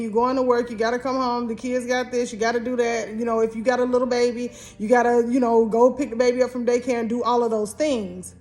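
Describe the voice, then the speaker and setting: A woman's voice: on the high side, a little mellow. An American woman in her fifties co-hosting a casual relationship advice talk, speaking earnestly and directly to the viewer. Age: 50s. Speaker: co-host giving marriage advice.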